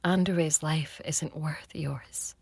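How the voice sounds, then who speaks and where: Husky, slow-speaking vampire conqueror woman. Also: sits low, fairly even intonation, no room sound, exaggerated voice. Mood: tender, subdued. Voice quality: husky, creaky. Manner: unhurried, hushed, dry. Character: ancient vampire conqueror, a woman, tender and dryly teasing. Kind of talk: intimate audio drama acting and first-person narration.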